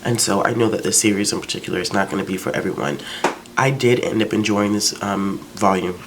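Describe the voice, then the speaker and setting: A man with a deep voice, reviewing books in a chatty, relaxed vlog full of small fillers. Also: brisk, background noise. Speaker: book vlogger. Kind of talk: casual book review vlog.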